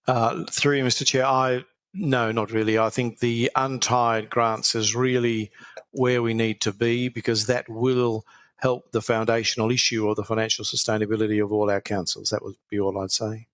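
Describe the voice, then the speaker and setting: Man answering a committee for his council, brief and to the point, with an even, flat voice and a dry sound. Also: medium pitch, moderate pace, no room sound. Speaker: local council witness.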